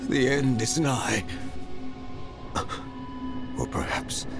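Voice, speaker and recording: An actor voicing the tormented ghost of a game creator, speaking in an ominous, theatrical monologue. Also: male voice, medium pitch, steady tone, extremely slow. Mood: haunted, foreboding. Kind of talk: solemn dramatic monologue. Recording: loud music over the voice.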